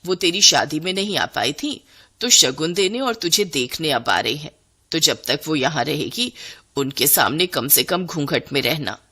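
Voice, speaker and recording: A woman's voice: low voice, expressive, moderate pace, bright, forceful tone, breathy edge, voice pushed down, exaggerated voice. A woman voicing an exasperated middle-aged Indian mother-in-law in a cartoon story, scolding and fretting with dramatic exclamations. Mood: coaxing.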